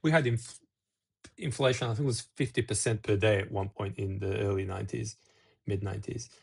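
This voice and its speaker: A man's voice: fairly low pitch, fairly even intonation, brisk, vocal fry, slightly rough. A middle-aged man from Serbia hosting a casual talk-to-camera video, rambling with restarts and asides. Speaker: video host.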